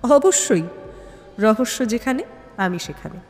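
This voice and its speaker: Audio-story heroine: confident woman sleuth, read by a female narrator, assured, deliberate, lively pitch, long reverb. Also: faint music behind. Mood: proud. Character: confident, keen amateur woman detective.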